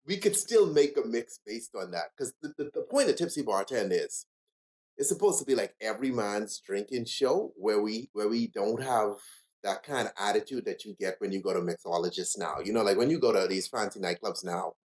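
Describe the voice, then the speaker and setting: A man's voice: on the low side, animated, a little fast, darkish tone. A man from the Bahamas with a Bahamian accent, chatting as a guest bartender in a laid-back, rambling way. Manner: laid-back, rambling, lots of "you know". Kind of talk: casual interview chat.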